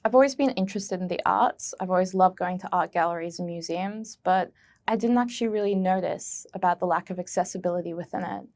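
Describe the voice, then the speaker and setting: Woman, gravelly fry, earnest museum intern, prepared answers. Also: fairly low pitch, fast talker, darkish tone. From Australia.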